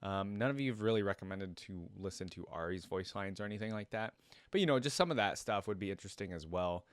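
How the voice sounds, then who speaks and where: Low, clear-voiced man chatting casually. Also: animated, fast talker, no echo. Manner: informal, thinking aloud in loose tangents. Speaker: YouTube reaction host. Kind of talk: casual, rambling solo reaction commentary to viewers.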